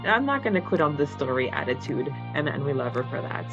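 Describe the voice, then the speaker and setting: A woman's voice: deep voice, sing-song, quick pace, loud music behind the voice. A woman co-hosting a fan podcast, chatting casually in an effusive, giggly way, often repeating words. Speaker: podcast co-host. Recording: slightly dull lo-fi audio.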